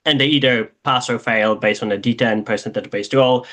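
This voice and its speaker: A man's voice: mid-range voice, fairly even intonation, fairly bright tone. A man, originally from Poland, introducing himself and his tabletop game as game master, with frequent restarts and fillers. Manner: halting, many restarts and fillers.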